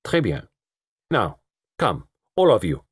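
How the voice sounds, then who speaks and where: A man's voice: fairly low pitch, huge pitch swings, slow, husky, dry recording, studio quality, full character voice. A man voicing a shrewd, precise middle-aged male detective with a put-on French accent. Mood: commanding. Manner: precise, formal, probing questions. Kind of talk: audiobook character voice.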